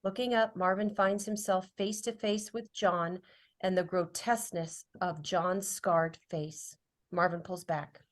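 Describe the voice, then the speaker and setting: A woman's voice: lowish voice, steady tone, a little slow. A woman reading stage directions as scripted narration at a drama table read.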